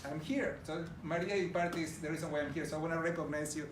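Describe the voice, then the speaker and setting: Middle-aged man, Argentine Spanish accent, fairly high voice, presenting to an audience, distant mic, roomy echo, background noise. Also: fairly even intonation, fast. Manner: warm, enthusiastic, addresses the audience directly. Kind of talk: conference presentation to a live audience. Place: large room with an audience.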